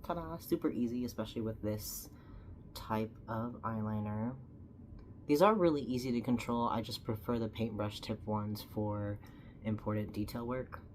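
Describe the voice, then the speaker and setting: A woman's voice: extremely low pitch, normal speed, darkish tone, slight background noise. A young woman, slightly stuffy from a cold, chatting casually as she explains each step of a makeup tutorial.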